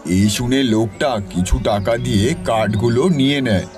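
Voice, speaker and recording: A man's voice: medium pitch, normal speed, over music. A man giving scripted narration for a cartoon story.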